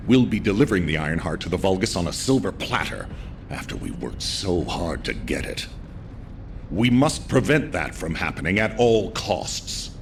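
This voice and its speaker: An actor playing a stern, weary military commander in a game, briefing with intense, duty-bound authority. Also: male voice. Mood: heavy, resolute. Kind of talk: scripted game dialogue. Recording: faint rumbling background noise.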